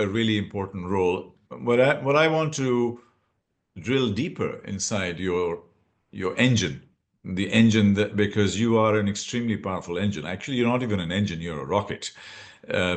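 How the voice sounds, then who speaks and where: Male podcast host, lowish voice, rambling questions, fillers. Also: lively intonation, moderate pace. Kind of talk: podcast interview.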